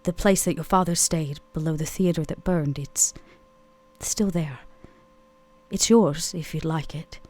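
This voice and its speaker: A woman playing a commanding, ageless vampire woman in a tabletop game, tender yet businesslike, with measured, formal phrasing. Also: low-pitched, mellow. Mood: dryly warm. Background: music.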